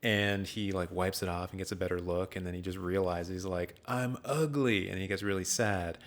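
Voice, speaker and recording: A man's voice: sits low, fairly even intonation, normal speed. A man co-hosting a film podcast, recapping casually with a dry, ironic sense of humour.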